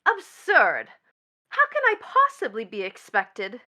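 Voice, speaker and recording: An actress playing a bitter, proud young stepdaughter in a stage play, with an emphatic, mocking, dramatic delivery. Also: female voice, on the high side, wildly swooping pitch, slow pace, sharp tone, full character voice. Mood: wounded scorn. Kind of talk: theatrical stage play acting.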